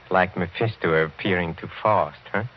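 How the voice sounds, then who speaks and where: Suave, low-voiced sinister old count. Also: male voice. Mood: amused, suave. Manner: silky, courteous, theatrically hesitant, chuckling. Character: suave older count, courteous, menacing, half-mad aristocrat. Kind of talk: 1940s radio acting. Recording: muffled, noisy vintage radio recording.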